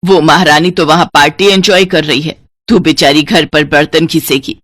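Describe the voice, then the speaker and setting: A woman's voice: low voice, fairly even intonation, normal speed, fairly bright tone, dry recording, clean studio sound, pitched below the performer's own voice. A woman voicing a scheming older mother-in-law who nags and needles in a storybook tale. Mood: sly goading. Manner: needling, complaining.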